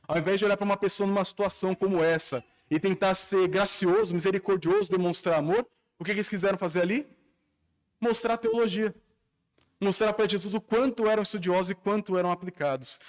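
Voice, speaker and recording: A man with a Brazilian accent preaching an expository sermon as a pastor, earnest and emphatic, with rhetorical questions and repetition. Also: on the high side. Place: church service.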